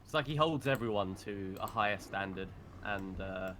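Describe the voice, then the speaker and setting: A man's voice: fairly low pitch, expressive, normal speed, noisy background. A man chatting casually on a live stream as a voice actor.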